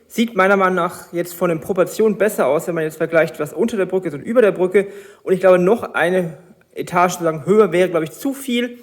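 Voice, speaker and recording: A man with a fairly high voice, a little stuffy from a cold. He talks in a relaxed, chatty way and explains every step of his building work to his viewers. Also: a little fast. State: has a cold. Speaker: LEGO builder and channel host. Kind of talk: casual build vlog narration.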